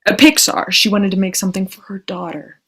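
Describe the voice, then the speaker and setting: A woman's voice: medium pitch, level tone, brisk, a bit bright, breathy edge. A woman on stage playing a chatty, self-mocking young woman with anxiety underneath, in naturalistic stage acting. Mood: eager storytelling. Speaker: actor in a staged play reading.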